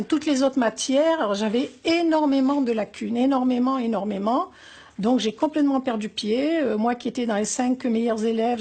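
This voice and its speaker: An older woman from Algeria speaks in a slightly high voice, telling her memories slowly and thoughtfully. She hesitates often and fills the gaps with small sounds. Her tone stays wistful and reflective. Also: a little mellow, slightly rough. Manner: hesitant, many pauses and fillers. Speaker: interviewee. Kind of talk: oral history interview.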